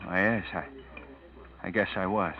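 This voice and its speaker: A man's voice: low-pitched, fairly even intonation, a little slow. An actor playing an anguished, guilty man on the run in a 1940s radio drama. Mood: uneasy. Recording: muffled old radio recording with music.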